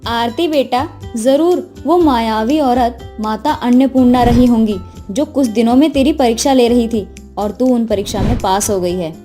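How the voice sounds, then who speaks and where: A woman playing a gentle, devout middle-aged mother in a children's moral story. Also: fairly high pitch, moderate pace, hardly any pauses, soft background music, natural voice. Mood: reassuring.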